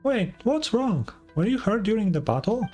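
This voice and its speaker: A small, excitable video game companion, acted out by a man, pitched well above a usual male voice. The delivery is animated, full of anxious questions and caring exclamations. Music plays clearly behind it. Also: moderate pace. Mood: worried, urgent.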